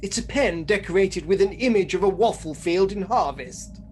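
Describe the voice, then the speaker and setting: A woman playing a mild, sceptical sidekick in a comedic radio play, droll, questioning and deadpan. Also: mid-range voice, moderate pace, a little hiss or hum, lightly acted.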